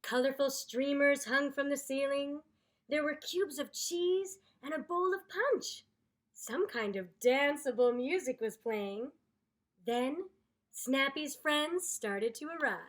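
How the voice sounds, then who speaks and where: A woman reading a children's story aloud in an expressive, theatrical, deliberate way. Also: high-pitched. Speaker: storyteller, voice actor.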